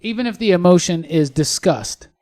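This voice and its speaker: A man's voice: high-pitched, animated, normal speed, a little mellow. A man hosting a casual podcast discussion, posing probing questions and repeating words as he builds them. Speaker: podcast host. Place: studio.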